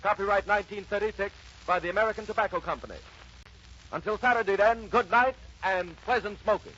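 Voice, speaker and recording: A man's voice: high-pitched, fairly quick. A man announcing in the scripted style of a 1930s radio sponsor sign-off. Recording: dull 1930s radio, loud music.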